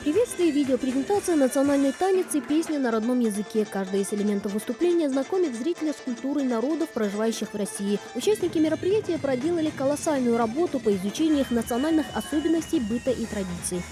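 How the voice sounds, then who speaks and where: A woman reporter reading a scripted news voice-over in a brisk, even manner. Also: sits high. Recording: voice-over over loud music.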